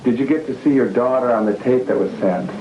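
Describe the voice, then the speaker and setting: A man conducting a camcorder interview, asking measured, patient questions. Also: mid-range voice. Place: room inside a residential compound building. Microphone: camcorder microphone. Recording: echoey room on old lo-fi videotape with hiss.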